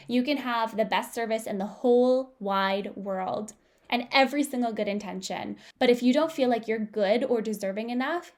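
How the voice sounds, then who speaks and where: A woman's voice: a little high, a little mellow. A young woman hosting a podcast, speaking with upbeat enthusiasm and laughing often. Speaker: podcast host. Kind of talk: podcast promo and interview.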